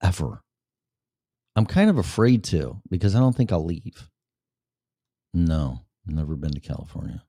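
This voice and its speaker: A man's voice: sits low, sing-song, unhurried, pauses often, dark tone, dry recording, clean studio sound. A man with a Chicago accent hosting a casual chat show, rambling and blunt, with plenty of swearing. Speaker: show host. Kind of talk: casual livestream chat with viewers.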